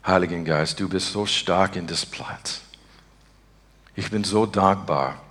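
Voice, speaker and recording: Male preacher, American accent, native English speaker. Very slow, halting non-native delivery, slightly low pitch, earnest and devout. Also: a bit bright. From Texas, United States. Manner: halting, searching for words in broken beginner German. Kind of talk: informal sermon and spontaneous prayer.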